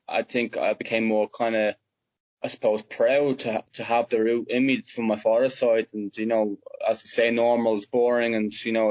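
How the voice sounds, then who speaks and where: A man's voice: medium pitch, darkish tone, dull audio. A young man with a Dublin Irish accent, answering interview questions casually and hesitantly, with many fillers and restarts. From Ireland. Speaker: footballer guest.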